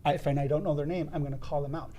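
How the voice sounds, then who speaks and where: A man explaining CPR steps as an instructor in a podcast studio, with a loud, emphatic teaching voice and frequent restarts. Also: on the high side, steady tone, rapid-fire, slight background noise.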